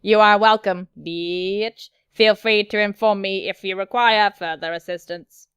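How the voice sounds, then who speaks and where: Woman putting on a steady, curt, sassy male android. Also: mid-range voice, measured pace, clear, resonant tone, no echo, highs cut off, close to an everyday voice. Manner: steady, clipped, formal, with blunt insults.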